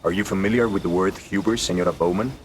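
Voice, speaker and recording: A man's voice: sits low, fast, lightly acted, low-quality recording. A man playing a proud, distrustful Bolivian rebel leader in a video game, formal and stern, with a Bolivian Spanish accent. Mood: scornful. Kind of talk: cinematic video game acting. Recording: clear vehicle and ambient noise.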